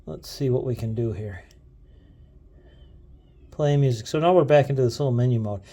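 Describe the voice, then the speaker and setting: A man with a relaxed, mellow voice of average pitch talks through a gadget demo. He is casual and unscripted, often pausing and restarting as he thinks aloud while fiddling with things. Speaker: gadget video host.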